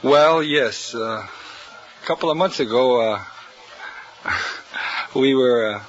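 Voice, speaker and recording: A man from San Pedro, a fishing skipper who answers as a quiz contestant, plainspoken and halting with many uhs. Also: medium pitch, muffled recording, slight background noise. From San Pedro, California. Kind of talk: hesitant guest answers.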